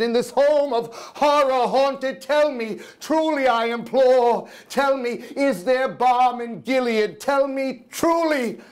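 A man's voice: extremely high pitch, voice pushed up. A man performing an anguished, grief-maddened man in impassioned, declamatory Gothic verse. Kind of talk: theatrical Gothic poetry performance. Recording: noisy live room recording.

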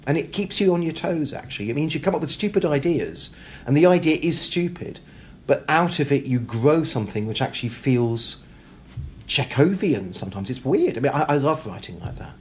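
A man's voice: medium pitch, sing-song, fairly quick. A man from Britain, an author, talking reflectively and animatedly in an interview, emphatically acting out imagined questions and answers. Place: hotel. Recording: background room noise.

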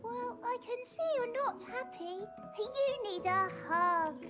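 A woman voicing a cute, trusting little girl toy elephant in a video game, with a squeaky childlike voice and a sweet, innocent, pleading manner. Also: animated, slow, exaggerated voice. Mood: sweet, caring. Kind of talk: animated video game character acting. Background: game music.

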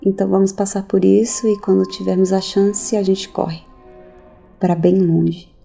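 A woman's voice: medium pitch, level tone, unhurried, creaky, mellow, faint music behind. An actress playing an unhinged, nihilistic woman in an audio drama, musing in a theatrical, sardonic way. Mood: tender, reassuring. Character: unhinged woman recounting her cultish family's cruelty, mocking, nihilistic.

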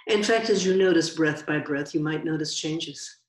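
A woman meditation teacher giving guided meditation instruction in a gentle, unhurried way with long pauses. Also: low voice. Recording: roomy, echoing sound.

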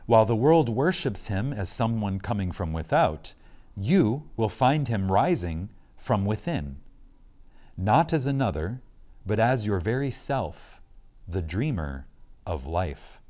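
A man giving a reverent, scripted reading of a spiritual lecture, solemn and emphatic, with deliberate pauses. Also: fairly low pitch, big pitch swings, a little mellow.

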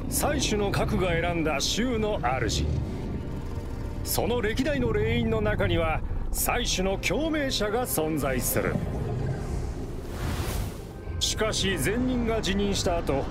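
An actor playing a theatrical storyteller who recounts war legends in a grand, declamatory, old-fashioned manner for a game. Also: male voice, high-pitched, heavily acted. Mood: solemn, portentous. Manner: grandiose, declamatory, archaic wording. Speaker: storyteller narrator. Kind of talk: dramatic theatrical storytelling narration. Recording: loud music under the voice. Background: loud music.

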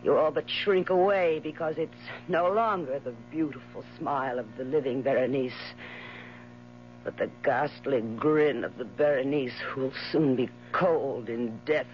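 An actress in a 1970s radio drama playing a bitter, mocking dying wife with scornful, drawn-out theatrical phrasing. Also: female voice, extremely low pitch, soft background music. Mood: morbid, taunting. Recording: muffled 1970s radio recording with hum.

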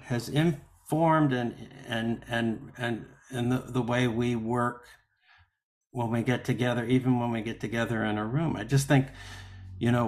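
Thoughtful man reasoning aloud, halting. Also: mid-range voice. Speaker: actor. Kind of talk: panel discussion. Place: indoors at home on a video call. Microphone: video call microphone.

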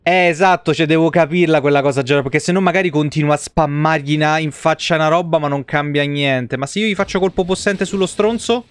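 A man's voice: fairly high pitch, brisk, hardly any pauses, clear, resonant tone, no room sound. A man, giving casual, blunt and sweary live game commentary to his chat. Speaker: live streamer. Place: indoor room at home, streaming setup. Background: video game music.

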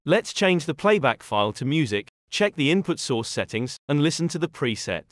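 A man reading a scripted software tutorial in a measured, instructional way. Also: fairly high pitch, big pitch swings, no room sound, clean studio sound. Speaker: tutorial narrator.

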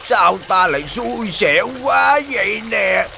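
A man voicing a bumbling, scheming, luckless wolf in a children's cartoon, with a gravelly, whiny, exaggerated delivery. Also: high-pitched, expressive, measured pace, bright, forceful tone, slight background noise, exaggerated voice. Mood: panicked, whining.

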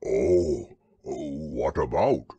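Kindly simple bear, low-pitched, very slow, hesitant, storybook character. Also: male voice, mellow, slightly rough, no echo, full character voice. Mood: puzzled, slow. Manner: hesitant, drawn-out, ponderous.

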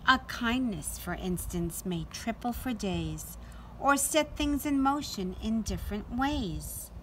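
A middle-aged woman doing an expressive, deliberate read-aloud storytime for young children. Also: fairly high pitch, rough recording. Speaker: teacher reading to children. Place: outdoors in a sunny backyard. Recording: outdoor ambient noise.

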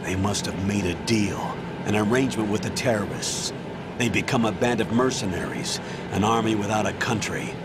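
An actor playing a stern middle-aged colonel in a video game, giving clipped, authoritative mission briefings. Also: male voice, on the low side, moderate pace, very noisy, lightly acted. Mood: explanatory. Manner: authoritative, clipped, matter-of-fact. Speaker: commanding officer. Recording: background music under the voice. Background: game music.

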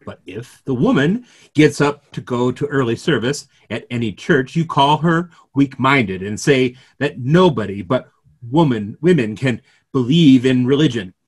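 A middle-aged man playing an earnest, gently ironic clergyman in a play reading, wordy and rhetorical. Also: medium pitch, lively intonation, measured pace, breathy edge. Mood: ironic, arguing. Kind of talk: remote script reading of a stage play. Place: small room at home, video call.

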